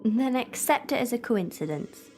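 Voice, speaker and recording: Audio drama role: young female companion played by a woman, high voice, inquisitive and conversational, faint music underneath. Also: lively intonation, moderate pace, a little mellow, close to an everyday voice. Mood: coaxing, grateful. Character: grateful, questioning young female companion of a time traveller.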